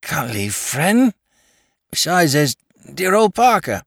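A drunk, rambling lord. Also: male voice, on the high side, very animated, slow pace, creaky, dry recording, studio quality, pitched above the performer's own voice, full character voice. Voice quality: slurred, drunken. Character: drunk aristocratic young Englishman, jolly, rambling.

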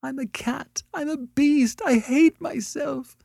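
A man voicing a quick-tempered young woman artist in an audiobook story, sharp-tongued yet remorseful, with an emotional, theatrical way of talking. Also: on the high side, very animated, dry recording, studio quality, voice pushed up. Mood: sobbing self-reproach.

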